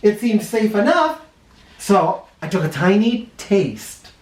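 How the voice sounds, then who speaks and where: A man reads a picture book aloud to children with a very animated, swooping delivery. He leans on key words for dramatic effect and addresses young listeners directly. The sound is roomy and echoing, a little away from the microphone. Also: high-pitched, slow, far from the mic. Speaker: children's storybook reader. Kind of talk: expressive read-aloud storytelling for children.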